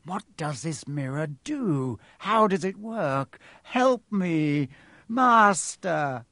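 Audiobook villain, acted by a male reader: impatient servant of a dark master, raised pitch, sharp, urgent commands. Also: lively intonation, drawn-out words, dry recording, exaggerated voice. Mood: frantic pleading.

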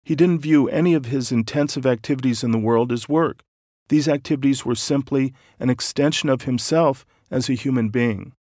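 A man narrating an audiobook, calm and measured, explaining ideas patiently. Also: mid-range voice, no room sound, clean studio sound.

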